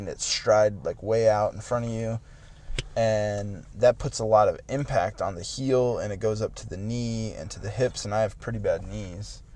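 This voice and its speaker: Late-twenties male podcast co-host, slightly low voice, loose rambling delivery. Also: a little mellow, slight background noise. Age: late 20s. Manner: rambling, filler-heavy with "like" and restarts. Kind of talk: casual chat.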